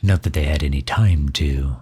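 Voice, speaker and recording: Man acting a very deep, husky megalomaniac villain. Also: normal speed, a little mellow, no room sound, clean studio sound, full character voice. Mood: smug, explaining. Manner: pompous, leisurely, formal wording. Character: suave megalomaniac villain, pompous and impatient with his servant. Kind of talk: ASMR audio roleplay.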